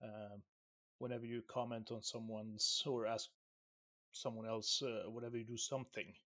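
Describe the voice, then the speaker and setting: A man's voice: fairly low pitch, fairly even intonation, normal speed, sharp tone. A man from Syria co-hosting a casual podcast, rambling with many restarts and laughing easily. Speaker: podcast co-host.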